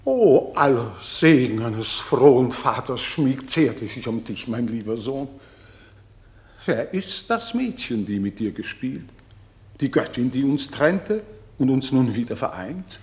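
A man's voice: medium pitch, sing-song, a little slow, darkish tone. An actor playing a penitent, emotional king and grieving father in a classic radio play, declaiming verse fervently. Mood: tender, awed.

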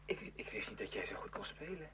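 A man's voice: fairly low pitch, big pitch swings, brisk, bright, forceful tone. A man acting a moody, confiding teenage schoolboy in a Dutch radio play. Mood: bitter, confiding. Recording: hum and faint noise, radio drama recording.

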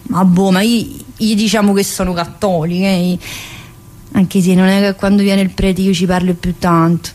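A woman's voice: mid-range voice, little pitch movement, a little mellow, natural voice. An actress playing a talkative, troubled woman locked in a psychiatric prison, in a radio theatre monologue, with a Neapolitan accent and a colloquial, confiding, rambling manner. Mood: chatty, wry. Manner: colloquial, confiding, rambling, with abrupt pauses and interjections.

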